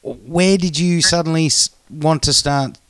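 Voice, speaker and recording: Male podcast host, smooth clear voice, short interview prompts, dry sound. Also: a little high, a little slow, fairly bright tone, dry recording. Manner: brief prompts and reactions. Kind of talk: interviewing a guest.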